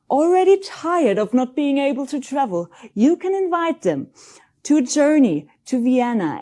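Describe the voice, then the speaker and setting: A woman giving a scripted corporate webinar presentation in a measured, deliberate way. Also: on the high side, dark tone.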